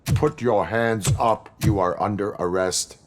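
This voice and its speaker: An actor playing a stoic cyborg police officer in a video game, speaking in flat, terse, commanding sentences. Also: male voice, lowish voice, measured pace, close to an everyday voice.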